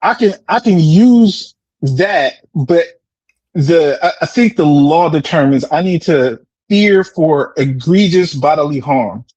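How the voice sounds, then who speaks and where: Clear, higher-voiced American man explaining casually. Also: slow pace, dry recording, dull audio. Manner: animated explaining, repeats words, often checks with "right?". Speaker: discussion participant answering questions. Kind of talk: casual debate.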